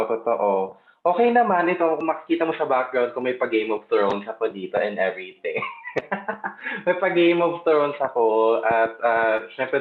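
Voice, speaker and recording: A man with a Filipino accent co-hosting a podcast in casual chat, animated and giggly, full of dramatic exclamations. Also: medium pitch. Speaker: podcast co-host. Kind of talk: casual chat, code-switching. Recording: tinny phone-like line in an echoey room.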